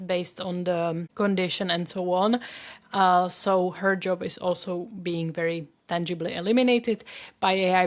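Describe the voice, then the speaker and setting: A young adult woman with a Czech accent, a native Czech speaker, hosting a solo podcast in earnest, conversational talk aimed straight at her listeners. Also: mid-range voice. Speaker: podcast host and career coach.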